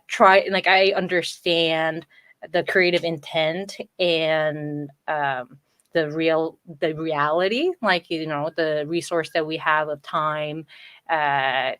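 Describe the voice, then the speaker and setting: A woman's voice: low voice, a bit bright, no echo. A woman whose first language is Korean, a guest on a video-call interview, talking casually with many "like" fillers. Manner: chatty, lots of "like" fillers, laughs often. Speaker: guest, entertainment design coordinator.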